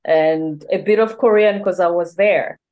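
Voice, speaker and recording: A woman's voice: lowish voice, a little slow, a little mellow. A woman, a Zulu speaker, hosts a conversation. She affirms her guest often and talks in an easy, conversational way. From South Africa. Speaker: interview host. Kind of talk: conversational interview.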